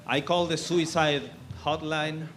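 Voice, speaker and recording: A man from Argentina doing a stand-up set on a comedy club stage, with a halting delivery full of fillers. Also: on the high side, steady tone, fairly bright tone, a little hiss or hum.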